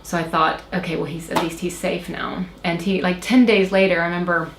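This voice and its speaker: American woman, thirties, faint Irish lilt, lowish voice, halting guest storyteller; roomy recording with faint hiss. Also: steady tone. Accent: American with a slight Irish lilt. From Oklahoma, USA. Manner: hesitant, many fillers and restarts. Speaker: interview guest. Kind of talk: casual personal storytelling. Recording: roomy echo, faint background noise.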